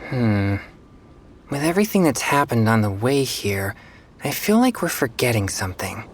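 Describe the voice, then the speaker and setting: A woman's voice: rumbling low, big pitch swings, normal speed, full character voice. A woman voicing an earnest, gullible teenage boy demon hunter in a video game. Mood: pondering. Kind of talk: video game dialogue acting.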